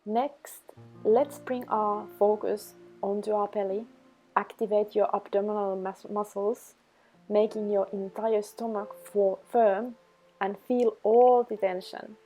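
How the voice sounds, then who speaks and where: A woman leading a guided meditation, giving instructions in slow, measured phrases. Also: medium pitch, little pitch movement, dark tone. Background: background music.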